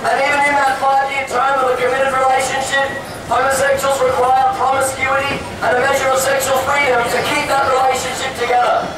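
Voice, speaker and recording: A high-pitched man preaches through a megaphone, heard from far off with heavy echo. His delivery is declamatory and emphatic, circling back on phrases as he addresses people across a noisy street. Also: monotone, a little fast. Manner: emphatic, repetitive, declamatory public speaking. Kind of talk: impassioned street preaching to a crowd. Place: outdoors on a busy city street corner. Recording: distant, echoing megaphone over noisy street crowd. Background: crowd, traffic and street noise.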